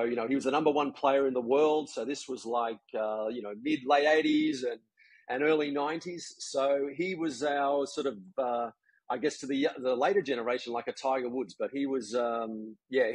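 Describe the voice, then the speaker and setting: Australian man, husky voice, dry sound. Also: mid-range voice, no room sound, poor audio. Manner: relaxed, rambling with many fillers. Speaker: golf coach, guest. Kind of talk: casual interview chat. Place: home, residence in Jakarta.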